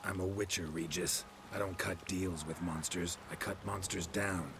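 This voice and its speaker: Gruff monster hunter, low American voice, curt and deadpan, video game cutscene. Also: male voice, moderate pace, noisy background. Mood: irritated, blunt. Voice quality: gravelly, gruff. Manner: terse, flat, dry. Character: gruff, world-weary male monster hunter, cynical and terse.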